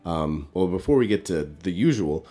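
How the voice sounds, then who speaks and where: Man, very low voice, congested, podcast host, relaxed conversational delivery. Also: normal speed, slightly rough. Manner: relaxed, conversational, loose fillers. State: congested, recovering from illness. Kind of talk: casual podcast intro and sponsor read.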